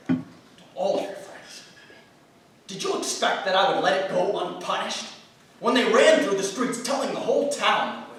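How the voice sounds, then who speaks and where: A stern, self-righteous Puritan played by a male stage actor speaks in a high, widely swinging voice. He is formal, deliberate and bitterly accusing. The sound is very roomy, as in a theatre reading. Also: a bit bright, heavily acted. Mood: furious. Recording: roomy far-miked theatre, faint noise.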